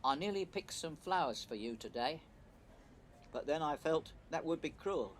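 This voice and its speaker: A shy young boyfriend in an audio drama, acted by a man. He speaks in a fairly high voice, awkward and apologetic, over slight background noise. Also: animated, moderate pace, lightly acted. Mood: earnest recital. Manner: hesitant, awkward. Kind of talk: audio play acting.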